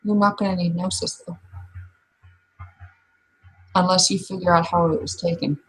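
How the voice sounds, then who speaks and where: A woman playing a blunt, skeptical werewolf in a tabletop roleplay, probing with frank questions and pausing before the pointed ones. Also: fairly low pitch, steady tone, husky, rough recording. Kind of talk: in-character tabletop roleplay dialogue.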